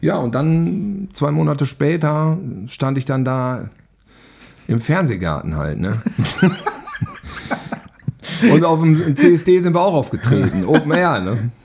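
A man's voice: a little high, expressive, normal speed, vocal fry, noisy background. A man chatting casually as a podcast guest, telling rambling anecdotes with little tag questions. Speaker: guest, film director and cameraman.

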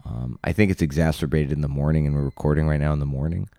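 A man with laryngitis, hoarse and low, chatting casually as a podcast co-host. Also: fast talker, no room sound. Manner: relaxed, chatty, reads sponsor ad casually.

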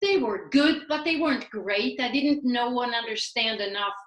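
A woman's voice: a little high, moderate pace, dull audio, faint music behind. A woman from Sweden, talking as a guest in a casual interview in an upbeat, earnest way. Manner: upbeat, earnest, spiritual phrasing. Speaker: guest, life coach. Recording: echoey room.

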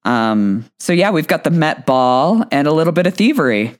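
A woman co-hosting a podcast, hoarse and croaky from a cold, dry and teasing. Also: sits low, animated, moderate pace. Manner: dry, teasing, plays along. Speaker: podcast co-host.

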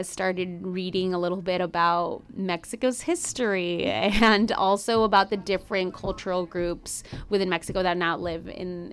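A woman's voice: medium pitch, a bit bright, slight background noise. A young adult woman, a native Spanish speaker, talking reflectively as a podcast guest with many restarts and fillers. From United States. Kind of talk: casual conversation.

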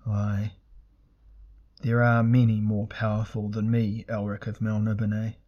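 Man voicing a booming, imperious ancient fire god. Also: completely flat delivery, a little slow, lo-fi sound, close to an everyday voice. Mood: weary, solemn. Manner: archaic, solemn, booming proclamations. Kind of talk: dramatic fantasy audiobook character voice.

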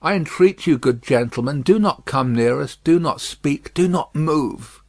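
A man's voice: fairly high pitch, animated, unhurried, no room sound. A man voicing a tender young woman who pleads with her father in earnest, imploring words. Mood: hushed. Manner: earnest, imploring, repetitive pleas.

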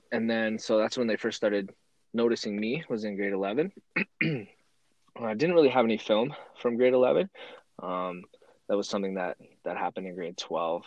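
Young male guest from Canada, low voice, relaxed and thoughtful, dry close mic. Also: normal speed, no room sound, dull audio. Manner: relaxed, thoughtful, frequent ums. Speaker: guest, former university football player. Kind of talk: interview storytelling.

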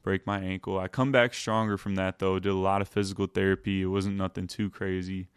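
A young man with a lowish voice that stays quite level and sounds smooth and clean. He tells his story in a laid-back way full of "like" fillers. The sound is dry and close. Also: little pitch movement, fairly quick, no room sound. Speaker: guest. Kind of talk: casual storytelling.

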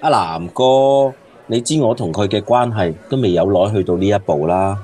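A man's voice: on the low side, moderate pace, mellow, natural voice. An actor playing a grumbling, lovelorn man drinking at a bar in a Cantonese radio drama, with a Hong Kong accent. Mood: exasperated, lovelorn.

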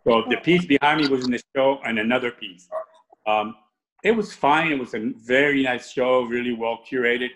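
A man's voice: medium pitch, normal speed, fairly bright tone, low-quality recording. A middle-aged man from Cuba, an artist answering interview questions in a casual, rambling way with many restarts.

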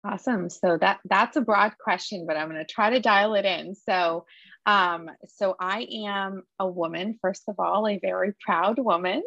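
A woman's voice: medium pitch, normal speed, creaky, a bit bright, no echo, lo-fi sound. A woman in her thirties from Lebanon, a guest telling her story in a casual interview, earnest, with frequent restarts and fillers. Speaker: guest, entrepreneur.

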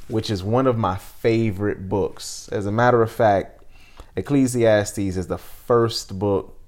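A man in his thirties hosting a reflective interview, speaking in an earnest, deliberate way. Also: lowish voice. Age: 30s. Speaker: podcast host.

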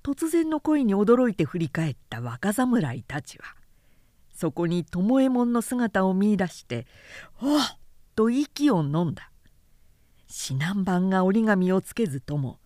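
A woman reads a historical story as narrator. Her pitch is medium and her delivery is measured and carefully articulated. The recording is dry, with little room sound. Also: sing-song, warm tone, dry recording. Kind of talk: scripted literary narration.

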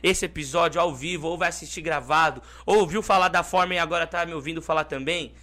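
A man's voice: a little high, steady tone, fast talker, sharp tone. A man with a Brazilian accent chatting and promoting informally as a podcast sidekick. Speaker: podcast sidekick and company presenter. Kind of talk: casual chat, informal promotion.

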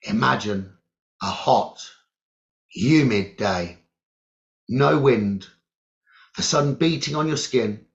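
A man with a mid-pitched voice leads a guided meditation very slowly. He gives calm, deliberate instructions in short phrases and leaves long pauses between them. The recording sounds a little dull and lo-fi. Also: gravelly. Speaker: meditation teacher.

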